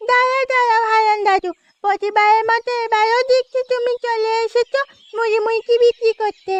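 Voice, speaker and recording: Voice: very high voice, little pitch movement, fairly quick, dry recording. An actor playing an eager, cheeky little village girl with a childish, inquisitive manner in a cartoon story. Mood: excited.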